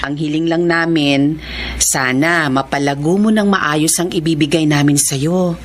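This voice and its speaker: A woman's voice: low voice, fairly even intonation, a little slow, a little hiss or hum. A woman playing a helpful, composed social worker in a Filipino radio drama. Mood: kindly, reassuring.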